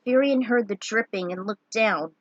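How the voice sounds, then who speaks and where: A woman's voice: mid-range voice, moderate pace, dry recording, highs cut off. A woman reading a scripted fantasy adventure story aloud as a dramatic narrator. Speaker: storyteller reading fan fiction.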